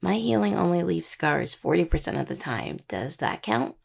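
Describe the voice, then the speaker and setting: A young woman playing a caring, teasing necromancer healer in an intimate audio roleplay, with a husky voice and conversational delivery. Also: fairly low pitch, sing-song, normal speed, full character voice. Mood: playful teasing. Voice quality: husky, gravelly. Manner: hesitant, teasing, full of pet names.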